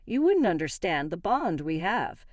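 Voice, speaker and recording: A woman's voice: fairly low pitch, sing-song, a little slow, no room sound. Female narrator as haughty older mother-in-law in audio story: taunting, condescending, swinging intonation, dry sound. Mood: sweetly condescending. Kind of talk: acted dialogue in a narrated story.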